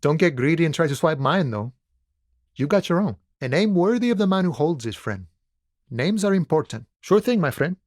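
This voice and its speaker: An actor playing an easygoing, wily striking dockworker in a video game, with folksy cowboy swagger and a theatrical, friendly drawl. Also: male voice, fairly high pitch, very animated, moderate pace, darkish tone, dry recording, studio quality. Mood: amused, sly, then smooth, friendly. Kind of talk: video game character dialogue.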